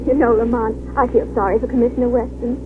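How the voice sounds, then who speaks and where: A woman's voice: on the high side, steady tone, quick pace, natural voice. An actress playing a witty, loyal young woman companion in a vintage radio drama, speaking in a light, wry, teasing way. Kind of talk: 1940s radio acting. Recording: narrow band, clear hiss, vintage radio broadcast.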